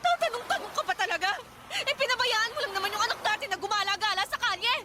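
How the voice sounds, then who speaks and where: A woman's voice: extremely high pitch, quick pace, heavy background noise. A woman playing a fed-up young mother in a radio drama, scolding her partner with exclamations and rhetorical questions. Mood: furious, scolding.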